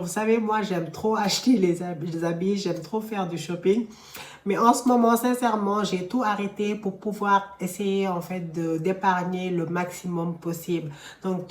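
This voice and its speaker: A young woman with a slight cold, giving chatty business advice straight to her viewers. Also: mid-range voice. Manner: chatty, conversational, addresses viewers directly. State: a bit of a cold. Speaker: entrepreneur and finance vlogger. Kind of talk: casual advice vlog. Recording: echoey room.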